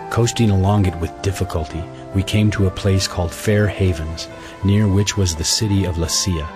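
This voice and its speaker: A man narrating a scripture audio drama in a measured, solemn storytelling manner, reading from a script. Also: sits low, fairly even intonation. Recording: music bed under the voice.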